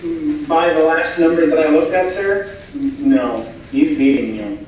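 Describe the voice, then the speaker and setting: An actor playing a dutiful personal assistant, dry and deferential, in a staged comedy reading. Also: male voice, fairly high pitch, lively intonation, measured pace, a little mellow. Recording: very echoey room, distant mic, faint noise.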